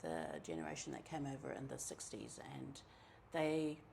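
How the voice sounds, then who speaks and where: A middle-aged woman from New Zealand with a New Zealand accent, telling her own story in a relaxed, chatty way, with many ums and asides. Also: extremely low pitch, moderate pace, gravelly, a little hiss or hum. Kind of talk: casual personal storytelling.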